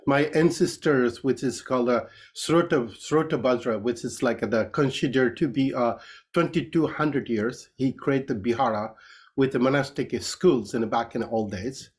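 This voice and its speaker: Nepali guy as podcast guest, medium pitch, nepali accent, explains stuff in halting repetitive english. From Nepal, Kathmandu Valley. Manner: halting, repetitive phrasing in non-native English. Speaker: interview guest, Buddhist priest and dance master. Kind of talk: conversational explanation.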